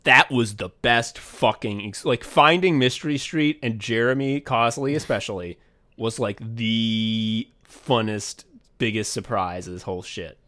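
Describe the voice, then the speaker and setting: A man's voice: lowish voice, measured pace, sharp tone. A man co-hosting a podcast, chatting casually and telling rambling, profane behind-the-scenes stories with lots of restarts. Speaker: podcast co-host.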